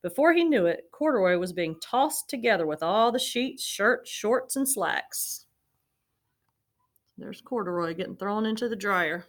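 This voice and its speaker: A woman's voice: mid-range voice, animated, a little slow. Woman reading a children's storybook. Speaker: storybook reader. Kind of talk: children's read-aloud narration.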